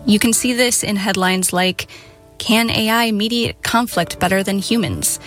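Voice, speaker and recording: A woman radio correspondent reading scripted narration clearly. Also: medium pitch, lively intonation, unhurried, a bit bright, faint music behind.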